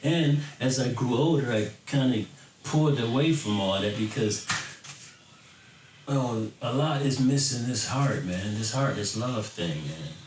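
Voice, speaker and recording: A man's voice: mid-range voice, unhurried. A middle-aged American man talking casually and earnestly to his fans, in an informal, rambling way. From Florida, then Michigan, United States. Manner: informal, earnest, rambling, often tagging "you know". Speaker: electronic bass music artist. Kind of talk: casual reflective talk to fans. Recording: roomy, distant mic, faint background noise.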